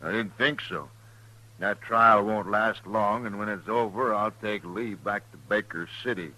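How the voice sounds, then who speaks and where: A sharp-voiced, blustering frontier sheriff. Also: male voice, fairly low pitch, unhurried, rough recording. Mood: smug. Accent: American. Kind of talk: old-time radio western acting. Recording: vintage radio drama recording.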